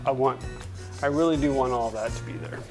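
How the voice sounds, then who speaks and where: A man's voice: mid-range voice, fairly quick. A man in a workshop talking casually while he brushes and wipes stain, rambling and correcting himself mid-sentence, recorded on a lapel mic. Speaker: furniture refinisher. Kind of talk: casual how-to narration while working. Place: indoor workshop at a paint-spattered table. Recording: music playing under the voice. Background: music.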